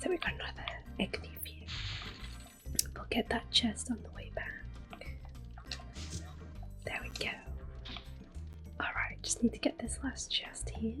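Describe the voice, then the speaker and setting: A woman speaking softly and whispering in a gentle, unhurried, rambling way as she gives casual ASMR commentary while playing a video game. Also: on the high side, wildly swooping pitch. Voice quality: soft-spoken, whispery. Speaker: gaming video host. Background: game music and controller sounds.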